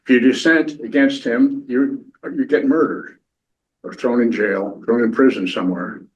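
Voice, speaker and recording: An older man answers audience questions at a talk. He is earnest and plain-spoken, often restarting his sentences, and has a middle-pitched voice. The recording sounds a little dull and lo-fi. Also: moderate pace. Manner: earnest, repeats words as he starts sentences. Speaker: retired navy captain giving a talk.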